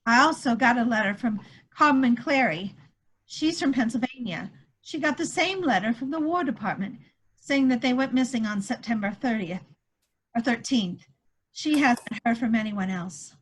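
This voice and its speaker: A woman acting a high-voiced, anxious wartime woman. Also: expressive, moderate pace, breathy edge, poor audio. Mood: worried. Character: anxious, hopeful wartime woman clinging to news of missing airman. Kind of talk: one-act play table read. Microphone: video call.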